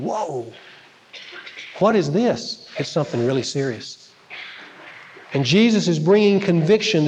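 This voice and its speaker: A man preaching a sermon to a congregation, dramatic and emphatic, swinging between loud stresses and quiet asides. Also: a little high. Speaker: pastor. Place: church sanctuary. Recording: large hall with long reverb.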